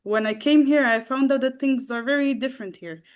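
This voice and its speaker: A young woman from the Netherlands, a guest telling a personal story in a halting way, with many fillers and restarts. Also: high-pitched, clear, resonant tone. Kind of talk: casual storytelling.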